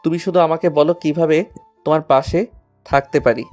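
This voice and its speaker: A man's voice: a little high, steady tone, moderate pace, darkish tone, soft background music, natural voice. A man acting a devoted, lovestruck young man in a romantic audio story, tender, earnest and reassuring.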